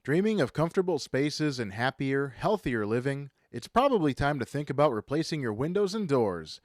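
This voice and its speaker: A man's voice: mid-range voice, very animated, moderate pace, dry recording, clean studio sound. An American man reading scripted sponsor announcements and commercials for local radio. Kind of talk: scripted ad read. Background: music.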